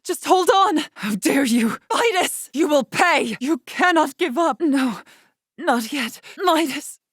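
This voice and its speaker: A woman voicing a proud, commanding female warrior in a video game, speaking with formal, measured diction. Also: high voice, animated, no echo, clean studio sound. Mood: alarmed, urgent. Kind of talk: scripted video game character battle lines.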